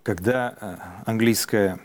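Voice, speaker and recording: Measured male interviewer, probing. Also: fairly low pitch. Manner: measured, probing, hesitant fillers. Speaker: host, interviewer. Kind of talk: interview questions.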